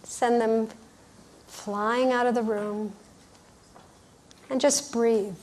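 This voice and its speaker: Female guided-visualization leader, very slow delivery, slightly high pitch, gentle and soothing, short phrases with pauses. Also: fairly even intonation, slight background noise. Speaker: workshop leader guiding a visualization. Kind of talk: guided meditation, unhurried storytelling instruction.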